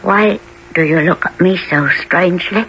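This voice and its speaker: A frail, polite orphan boy in a radio play, high and bright. He speaks hesitantly, on a dull recording with faint noise. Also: moderate pace, creaky. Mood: weak, polite. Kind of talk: radio drama acting.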